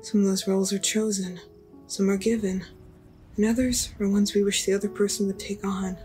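A woman voicing a gentle young apprentice mage in an anime fandub, soft, reflective and a little hesitant. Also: medium pitch, flat delivery, normal speed, lightly acted. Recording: music under the voice.

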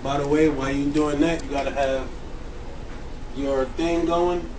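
A man's voice: on the high side, steady tone, measured pace. A man hosting a casual home cooking demonstration in a kitchen, talking informally to viewers. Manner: informal, addresses viewers as y'all. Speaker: home cooking show host. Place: home kitchen beside sizzling fryer. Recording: distant mic, loud frying kitchen noise. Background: frying food sizzle.

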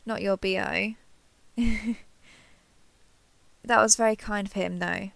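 Creaky female voice, close dry sound, earnest sentimental starship captain, acted by a woman, sci-fi audio drama. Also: mid-range voice, fairly even intonation, slow, fairly bright tone, dry recording. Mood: fond, flustered. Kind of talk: roleplay audio drama, one-sided dialogue.

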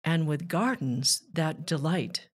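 A woman reading a rhyming children's book aloud with measured, deliberate phrasing. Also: low voice, slightly rough. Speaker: children's author and narrator.

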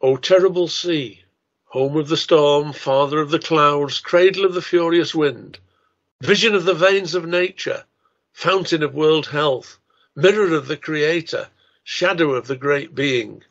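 A man in his seventies giving a scripted talk and poetry recital in a measured, formal manner. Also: a little high, a bit bright, dull audio. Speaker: writer and lecturer.

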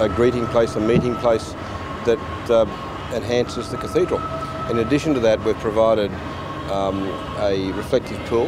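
A man, describing something haltingly in an interview. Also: lowish voice. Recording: city traffic noise behind.

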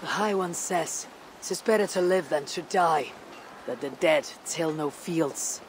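A woman playing a stern, weary Viking warrior leader in a video game, grave and measured. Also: deep voice, background noise. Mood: firm. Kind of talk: dramatic game cutscene acting.

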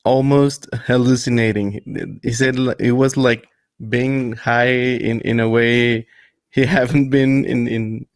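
A young man co-hosting a casual interview over a video call, speaking into a studio mic with headphones on. His voice is mid-pitched and dry, with no room sound. He talks haltingly, restarting words and phrases. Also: fairly even intonation, clean tone, dry recording. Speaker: podcast co-host.

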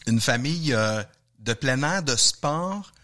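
Bright-voiced man hosting a podcast. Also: mid-range voice. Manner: relaxed, quick to laugh, asks casual questions. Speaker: podcast host. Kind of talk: casual podcast interview.

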